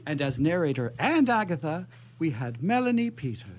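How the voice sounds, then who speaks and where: A man's voice: a little high, very animated, moderate pace, very noisy. A man hosting a radio theatre show in a casual, playful, rambling way. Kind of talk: casual, chatty live hosting.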